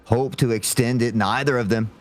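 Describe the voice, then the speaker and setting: A man's voice: medium pitch, fairly even intonation, moderate pace. A man giving fluent, wordy live analysis as an esports commentator, with playful turns of phrase. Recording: faint game noise and clicks.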